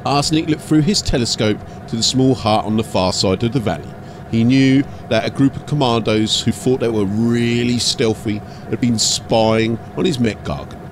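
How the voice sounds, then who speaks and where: A man's voice: fairly low pitch, noisy background. A man giving a scripted, dramatic narration as an audiobook storyteller.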